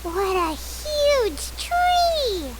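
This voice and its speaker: A woman voicing a tiny, excitable childlike companion sprite in a video game, with a squeaky voice and exclaiming manner. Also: extremely slow, noisy background, exaggerated voice. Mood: amazed. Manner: refers to self in third person, exclaiming. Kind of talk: video game character acting.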